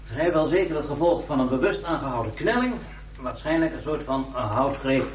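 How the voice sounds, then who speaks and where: A man playing a matter-of-fact pathologist in a radio crime drama, speaking in a measured, clinical, dry way. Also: medium pitch, animated, recorded from a distance, natural voice. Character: matter-of-fact male pathologist dictating autopsy findings. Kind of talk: 1990s Dutch radio drama acting. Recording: echoey room, off mic, faint hum.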